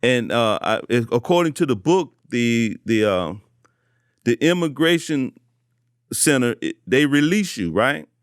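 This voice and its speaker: A male podcast host asks his guest short questions and nudges the story along with quick acknowledgments. His voice sits at an ordinary middle pitch, and the recording is dry with little room sound. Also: sing-song, no room sound. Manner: brief prompting questions and backchannels. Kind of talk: interview questions.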